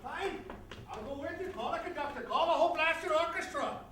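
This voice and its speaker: A brash, boozy press agent, played by a man in a stage comedy, blustering in a high, bright voice. He wisecracks and picks fights, heard from a distance in an echoey room. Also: lively intonation, a little fast, heavily acted. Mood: belligerent bluster. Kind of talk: theatrical stage comedy acting. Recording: echoey room from afar, noisy.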